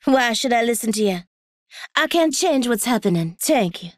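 A woman's voice: fairly high pitch, expressive, normal speed, lightly acted. An actress playing a plucky, defiant young female combat medic in a video game. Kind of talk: video game character voice lines.

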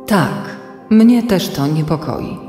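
Calm online guardian, very low mellow voice, earnest, measured, radio play, reverberant. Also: female voice. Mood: earnest, concerned. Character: calm, concerned female internet guardian, earnest and informative. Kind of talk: children's radio play acting. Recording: echoey long reverb, faint music.

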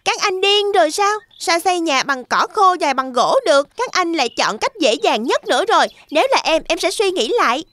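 A woman's voice: very high voice, big pitch swings, a little fast, hardly any pauses, a bit bright, dry recording, exaggerated voice. A woman voicing an earnest, responsible little pig boy in a children's cartoon. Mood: scolding. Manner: earnest, admonishing.

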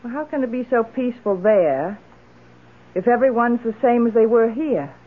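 High-voiced, tart older widow played by a woman. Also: normal speed, lightly acted. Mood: confiding, resolute. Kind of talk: old-time radio drama acting. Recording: muffled old radio broadcast.

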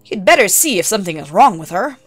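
A woman voicing a stern male huntsman in a storybook reading, firm and deliberate. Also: mid-range voice, very animated, faint music behind.

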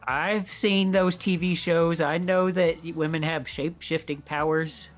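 A man's voice: on the high side, steady tone, unhurried, faint music behind, close to an everyday voice. A man voicing a laid-back husband and dad with casual, dry teasing in a game roleplay.

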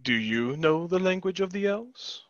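Man playing sceptical young brother, blunt, lively pitch, stage reading, dry dull headset audio. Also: a little slow, no room sound. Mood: suspicious. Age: young adult. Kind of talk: stage play reading over video call.

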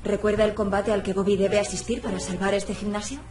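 An actress playing a sharp-tongued, skeptical young woman in a video game, with a clipped, sarcastic manner. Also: mid-range voice, little pitch movement, quick pace, far from the mic, close to an everyday voice. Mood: sardonic. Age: young adult. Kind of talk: video game dialogue acting. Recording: echoey room, music behind.

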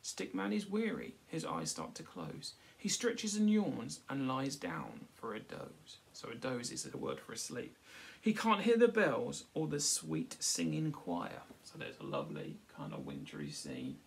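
A man reads a children's picture book aloud at home. His voice is lively and varied, with a gravelly creak. He often breaks off to explain things simply and check in with his listeners. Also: medium pitch, a little slow. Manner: frequently checks with "okay", explanatory. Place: small furnished room at home. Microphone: device camera at about arm's length.

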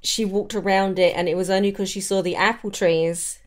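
A woman's voice: medium pitch, steady tone, brisk. A chatty woman, hosting a casual, conversational discussion of books. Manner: chatty, giggly. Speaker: podcast host. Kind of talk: casual book chat.

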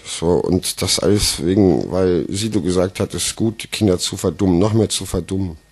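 A man's voice: low voice, moderate pace, darkish tone, husky. A man performing comic slam poetry live on stage into a microphone, with a theatrical, emphatic delivery.

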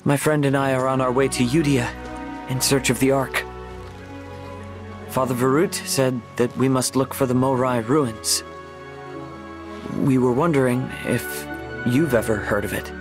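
An actor playing an earnest, gentle priest healer in a video game. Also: male voice, mid-range voice, steady tone, unhurried, long pauses, close to an everyday voice. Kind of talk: video game character acting. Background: music.

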